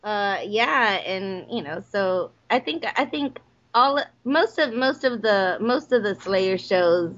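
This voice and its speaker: A woman's voice: medium pitch, animated, slow pace. A woman with a Texan accent, a voice actress chatting as a guest, laughing often with many fillers. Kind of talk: casual interview chat. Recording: dull lo-fi podcast audio.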